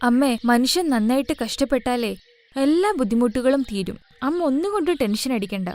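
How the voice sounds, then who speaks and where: A woman plays a young daughter-in-law in a cartoon tale. Her voice is high, and her manner is caring, reassuring and steadily hopeful. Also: lively intonation, moderate pace, a little mellow. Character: devoted, hopeful young daughter-in-law running a roadside food stall.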